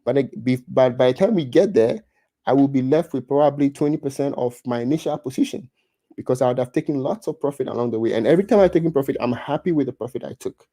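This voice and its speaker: A man's voice: mid-range voice, quick pace, mellow, dry recording. A man in his thirties from Ghana with a Ghanaian accent, chatting casually on a livestream and reading out viewer comments, often restarting and repeating words. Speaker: livestream host.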